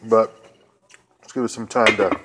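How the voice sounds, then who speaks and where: A man's voice: fairly low pitch, fairly even intonation, a little slow, noisy background. A middle-aged man hosting a casual home cooking video, talking through each step in a rambling, folksy way with lots of fillers. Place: home kitchen counter, indoors. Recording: home kitchen with loud cookware clatter. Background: clattering pots, pans and cans.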